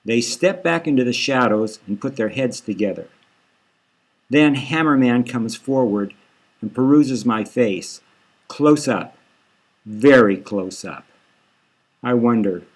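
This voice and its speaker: A man's voice: medium pitch, takes it slowly, pauses often. A man reading scripted first-person story narration as the author of the novel.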